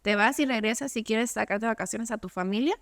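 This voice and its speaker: A woman's voice: fairly high pitch, brisk. A young woman from Cuba, talking casually as an interview guest and padding her speech with fillers. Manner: conversational, many fillers like "o sea" and "pues".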